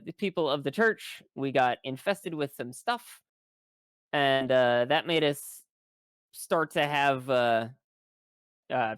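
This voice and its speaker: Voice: mid-range voice, smooth, no room sound. Casual, sweary storyteller with a dry, close sound. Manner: swears freely, wry and colloquial. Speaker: tabletop role-playing game player. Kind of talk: casual, rambling spoken story recap.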